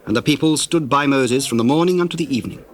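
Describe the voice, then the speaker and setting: Measured male scripture narrator, medium pitch. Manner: formal, measured storytelling. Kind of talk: solemn dramatized scripture narration.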